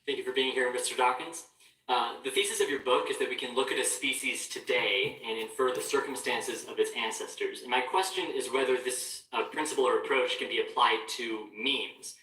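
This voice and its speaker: Man posing a formal audience question, bright edgy tone, echoing from a distance. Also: medium pitch, a little fast. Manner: formal, fluent, reads a prepared question. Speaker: audience member asking a question. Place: large hall with a live audience. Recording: echoey hall, voice from far off.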